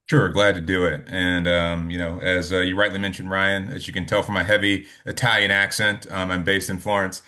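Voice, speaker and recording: Deep, even, forceful man from South Carolina. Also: a little fast, hardly any pauses. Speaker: panelist, in-house litigator. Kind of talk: informal professional self-introduction.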